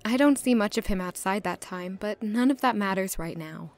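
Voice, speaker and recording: A woman's voice: medium pitch, moderate pace, darkish tone, lightly acted. A woman playing a young, anxious, curious castle servant who narrates her own story in an audio drama. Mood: reflective. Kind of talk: first-person audio drama narration and dialogue.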